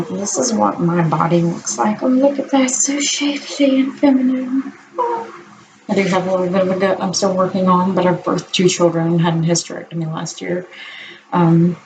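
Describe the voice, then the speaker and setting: A woman with a naturally deep voice talking candidly at home to her camera in a casual video diary, unhurried and full of ums. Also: animated, noisy background. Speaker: vlogger.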